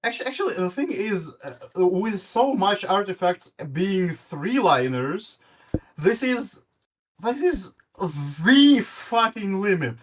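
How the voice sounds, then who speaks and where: A man with a Russian accent and a high-pitched voice talks through a game with friends. He speaks haltingly and keeps restarting his sentences, then pushes on with his point. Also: sing-song. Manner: halting, many restarts and stumbles. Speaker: guest player. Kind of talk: casual gaming chat.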